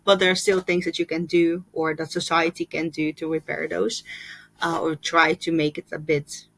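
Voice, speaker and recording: Woman, low and dry. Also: flat delivery, normal speed, gravelly, a bit bright, dry recording, rough recording. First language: Russian. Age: 30s. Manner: thoughtful, hesitant with fillers, laughs easily. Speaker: book vlogger. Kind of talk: casual reading wrap-up chat.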